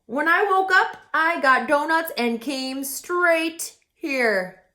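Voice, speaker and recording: A woman's voice: high voice, lively intonation, slow pace, pushed and bright, pitched above the performer's own voice, heavily acted. A woman doing a huffy, accusing male bear. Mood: dramatic. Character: indignant male bear demanding answers. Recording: roomy echo.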